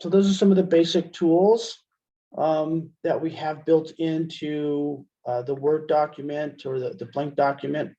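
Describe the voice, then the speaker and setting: A man giving a casual software demonstration as an instructor, conversational and thinking aloud as he goes. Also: on the high side, fairly even intonation, a little slow. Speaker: instructor, webinar presenter. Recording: dull, band-limited webinar audio.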